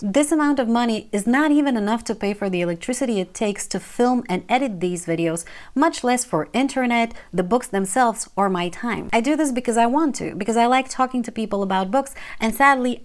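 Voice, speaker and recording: Frank Bulgarian-accented woman reviewing books. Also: a little high. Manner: frank and wry, earnest. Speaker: book reviewer. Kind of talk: casual video commentary.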